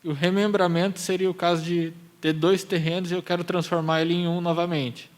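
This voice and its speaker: Man, Brazilian accent, somewhat high-pitched, public hearing presenter, explanatory, measured delivery. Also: level tone. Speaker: municipal planning presenter.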